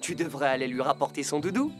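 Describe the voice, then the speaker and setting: A woman's voice: sits low, animated, fast. A woman voicing a helpful, reassuring rescue police car in a French children's cartoon dub, speaking earnestly. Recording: music behind the voice.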